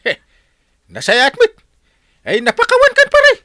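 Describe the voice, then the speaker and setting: A Filipino man playing an excitable, scolding village captain full of drawn-out exclamations in a radio comedy. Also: very high voice, no echo, clean studio sound, exaggerated voice. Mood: lecturing. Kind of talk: broad radio comedy drama acting.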